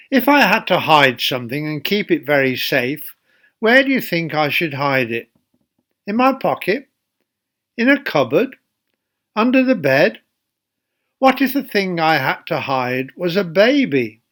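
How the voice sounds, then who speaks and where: Slow-speaking man reading a children's story. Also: fairly high pitch, fairly bright tone. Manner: deliberate, addresses young listeners with questions. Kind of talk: read-aloud children's Bible story.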